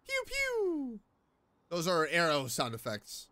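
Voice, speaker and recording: A woman streaming a video game with expressive, jokey, casual commentary over faint game music. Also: on the low side, slow pace, very noisy. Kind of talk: casual game commentary with playful line reading.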